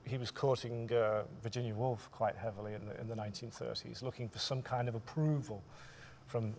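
A man in his forties, a writer speaking thoughtfully and in halting, measured phrases on a discussion panel. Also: mid-range voice, vocal fry. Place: theatre stage, indoors.

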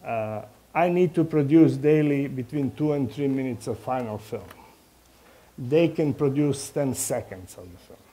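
Older croatian-accented guy giving a relaxed talk, slow and halting, kinda mellow voice. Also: medium pitch. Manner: halting, non-native English phrasing. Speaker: film director and professor speaking at a talk. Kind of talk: informal public talk with anecdotes. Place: medium-sized indoor meeting room before a small audience. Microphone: headset microphone.

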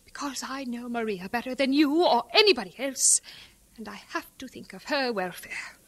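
An actress playing a stern, domineering Victorian missionary guardian in an audio drama, indignant and scornful. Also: female voice, a little high, animated, normal speed. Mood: agitated, insistent. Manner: indignant, clipped, imperious.

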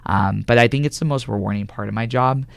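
A man's voice: medium pitch, fast, gravelly, clear, resonant tone, no echo. A man from California answering conversationally as an interview guest, a government health official, in a radio studio. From California, United States.

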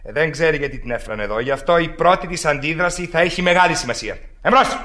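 A man's voice: on the high side, sharp tone. A man playing a stern police inspector who barks orders in an old radio play. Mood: commanding. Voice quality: commanding, barking orders. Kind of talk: radio drama acting.

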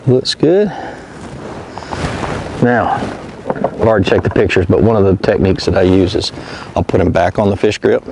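A man's voice: low-pitched, moderate pace, long pauses. A middle-aged man vlogging from a kayak on an open lake, explaining each step aloud and talking to the fish he handles. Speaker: fishing vlogger. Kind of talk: casual narration while working. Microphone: mounted action camera. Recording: outdoor wind and water noise.

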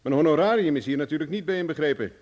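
A man's voice: a little high, expressive, racing, a little mellow, highs cut off. An actor playing a self-assured, hard-bargaining man in a radio drama, commanding and mocking in manner. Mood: taunting, confident. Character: cocky, hard-bargaining male adventurer, threatening and mocking.

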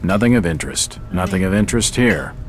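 A man playing a weary, detached detective in a video game, with a deadpan, unexcited delivery. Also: low voice, fairly quick. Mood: flat, observing. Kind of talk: video game narration and dialogue. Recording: noisy game ambience behind.

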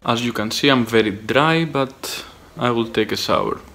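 A young man from Greece with a Greek accent, speaking casually and haltingly to a handheld phone camera outdoors. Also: medium pitch, sharp tone. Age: young adult. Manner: plain, halting narration with fillers. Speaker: vlogger. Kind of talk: casual travel vlog to camera. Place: outdoors in a village. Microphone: phone held at arm's length.

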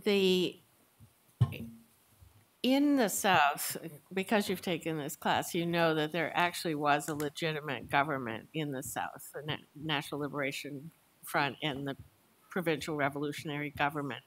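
An older woman answering a question on a panel in a measured, thoughtful way, speaking into a table mic in a large lecture hall. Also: sits low. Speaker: veteran panelist.

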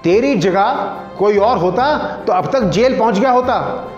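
A man's voice: high-pitched, lively intonation, slow pace, soft background music. A man voicing a wily, domineering corrupt police chief in a story, scolding and commanding. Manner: scolding, commanding, falsely sympathetic. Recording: roomy echo, dull lo-fi sound.

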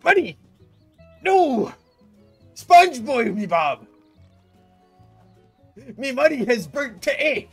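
A man voicing a panicked, greedy old crab boss in a high, strained cartoon shriek. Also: big pitch swings, slow pace, long pauses, slightly rough, faint music behind, exaggerated voice.

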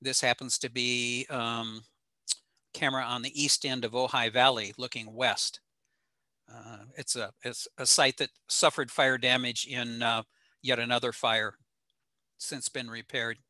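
An older American man giving an informal slide lecture in an explanatory, halting way with frequent pauses. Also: mid-range voice, little pitch movement, sharp tone, dry recording. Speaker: presenter, ham radio operator. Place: small home room indoors.

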